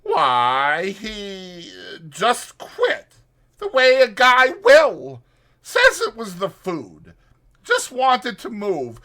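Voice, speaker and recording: A man's voice: high voice, huge pitch swings, very slow, pushed and bright, voice pushed up, exaggerated voice. A man voicing a chatty old ranch swamper with rambling, folksy speech for a dramatized audiobook. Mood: gossipy, insistent.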